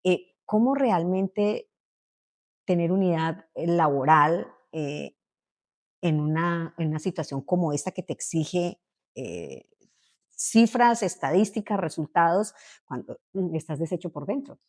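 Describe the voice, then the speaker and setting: Female interviewer, lower register; thoughtful, engaged questioning with frequent brief agreements. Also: unhurried, darkish tone. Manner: reflective, engaged, many short affirmations.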